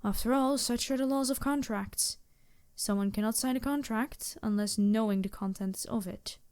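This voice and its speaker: Woman voicing a high-voiced, regal demon princess. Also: darkish tone, clear, resonant tone, natural voice. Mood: solemn, reflective. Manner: formal, measured, slightly stilted phrasing. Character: female demon princess, regal, empathetic, teasing and flirtatious. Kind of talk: ASMR roleplay addressed to the listener.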